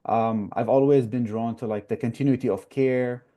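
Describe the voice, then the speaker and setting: A young man from Iraq talks through his story in an earnest, thoughtful way, often pausing with "uh" and "like." His voice is mellow and warm, at an ordinary middle pitch. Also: fairly even intonation. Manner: earnest, many "uh" and "like" fillers. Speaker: guest, newly matched resident. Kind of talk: interview answers.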